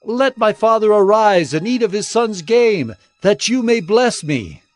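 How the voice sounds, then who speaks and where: An actor playing an eager firstborn son, a hunter, in a dramatized audio Bible. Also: male voice, high-pitched, animated, unhurried. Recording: music bed behind the voice.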